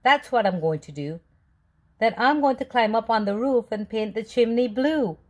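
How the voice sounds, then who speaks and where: A woman voicing an excited chimpanzee townsperson in a storybook, eager and gushing. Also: on the high side, normal speed, lightly acted.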